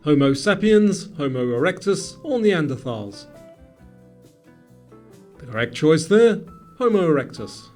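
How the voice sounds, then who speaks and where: A man with a high voice presents a scripted quiz over background music. His intonation swings widely as he addresses the player, and each phrase is clearly enunciated in a presenter's style. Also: very animated, a little slow, pauses often, a little mellow. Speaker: quiz show host.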